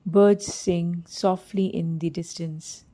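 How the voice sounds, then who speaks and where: Slow, mellow woman guiding meditation. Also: fairly low pitch, steady tone. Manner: slow, soothing, gently measured delivery. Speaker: meditation guide. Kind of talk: scripted guided meditation narration.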